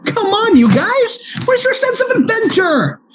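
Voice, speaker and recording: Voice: very high-pitched, sing-song, a little slow, a bit bright, a little hiss or hum, heavily acted, low-quality recording. A chipper, bouncy 1940s radio actor, as a performer plays it. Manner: chipper, enthusiastic. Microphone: video call.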